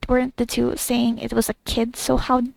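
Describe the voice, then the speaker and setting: Female game streamer, very gravelly fry on a higher voice, offhand muttered reactions while thinking out loud. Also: completely flat delivery, moderate pace, no room sound, rough recording. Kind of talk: casual gameplay commentary.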